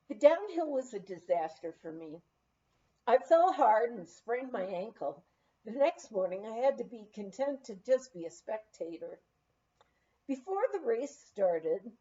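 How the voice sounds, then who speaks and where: A woman reading a memoir aloud in an expressive, unhurried storytelling manner. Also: mid-range voice, muffled recording. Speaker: audiobook reader.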